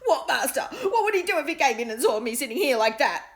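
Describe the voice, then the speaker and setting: A woman's voice: expressive, a little slow, gravelly, bright, forceful tone, exaggerated voice. A woman voicing a sulky, easily frightened male talking dog who whines and yelps. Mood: alarmed. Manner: high whiny yelps.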